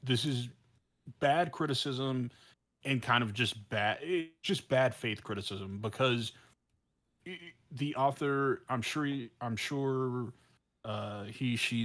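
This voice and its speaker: Male podcast co-host, mid-range voice, opinionated and incredulous, halting delivery with repeated restarts. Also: mid-range voice, a bit bright. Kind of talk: casual opinionated discussion.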